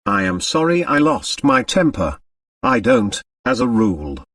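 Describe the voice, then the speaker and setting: A man plays a reserved Englishwoman in a mystery audiobook. She is polite, gently guarded and measured, captured in a dry recording a little off the mic. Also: fairly low pitch, very animated, dry recording, far from the mic, exaggerated voice. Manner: slow, measured.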